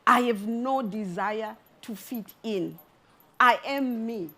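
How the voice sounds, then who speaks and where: A middle-aged woman from Zimbabwe, an artist giving a talk with a spoken-word poem, delivered in a slow, rhythmic, measured way. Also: a little high, sharp tone. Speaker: artist and speaker.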